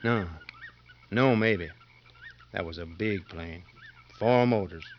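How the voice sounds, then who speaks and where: A man acting a greedy, impatient convict in a 1950s radio drama, needling and insistent, with a gravelly voice. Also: on the low side, slow pace. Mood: scheming. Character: scheming, impatient male convict, greedy and hard. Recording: dull, slightly noisy 1950s radio recording.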